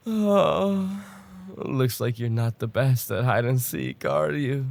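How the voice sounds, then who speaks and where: A man playing a possessive, smugly menacing stalker in an ASMR roleplay, taunting in a mock-tender, unhurried way with a gravelly, husky voice. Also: medium pitch, expressive, darkish tone. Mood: taunting, gleeful.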